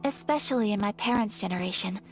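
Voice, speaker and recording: A woman playing a gentle, earnest young noblewoman in a video game, speaking in a soft, refined, formal and reflective way with a breathy voice. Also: mid-range voice, fast, faint music behind, lightly acted, poor audio. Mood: composed, reflective. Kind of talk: scripted game character dialogue.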